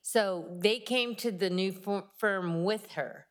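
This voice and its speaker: Woman, middle pitch, committee member relaying details from a document. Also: slow pace. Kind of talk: casual meeting discussion.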